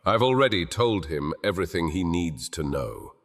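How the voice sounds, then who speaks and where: A man's voice: low voice, very animated, normal speed, full character voice. An actor playing a haughty, weary male king who speaks stiffly and formally in an audio drama. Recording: long reverb with music underneath.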